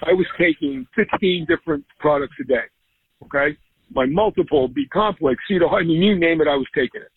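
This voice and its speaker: A man giving a fast, insistent customer testimonial. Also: a little high, very animated, vocal fry, husky, no echo. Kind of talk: phone-in testimonial. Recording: tinny phone line.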